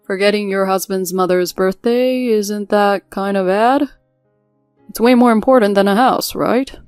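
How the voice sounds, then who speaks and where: A woman's voice: medium pitch, a little slow, a little mellow, clean tone, no room sound, clean studio sound, soft background music, lightly acted. A woman voicing a demanding, accusatory husband in scripted story dialogue. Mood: accusing. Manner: scolding, accusatory. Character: demanding, whiny husband siding with his mother.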